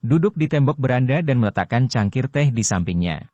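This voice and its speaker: A man's voice: medium pitch, lively intonation, a little fast, darkish tone, dry recording, muffled recording. Man reading a slangy novel. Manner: casual Jakarta slang narration. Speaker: audiobook narrator. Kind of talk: first-person novel reading.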